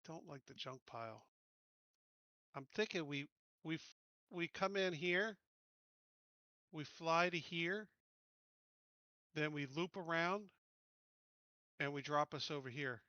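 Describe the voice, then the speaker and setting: A man talks tactics as a player in a tabletop game. His voice is clear and a little high, with casual, practical phrasing. The sound is very dry and close, slightly muffled on top. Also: slow pace, long pauses, sharp tone, no echo.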